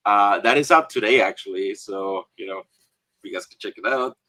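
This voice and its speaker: A man's voice: on the low side, normal speed, bright, forceful tone, no echo, rough recording. A man from Guatemala, an author and illustrator, speaking on a panel in a hesitant way full of fillers and restarts. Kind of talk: casual panel discussion.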